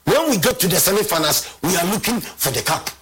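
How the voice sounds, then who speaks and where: Ranting Ghanaian man, very husky voice. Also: sits high, big pitch swings, brisk, a bit bright. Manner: heated, repetitive, scornful. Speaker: football fan commentator. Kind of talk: angry rant.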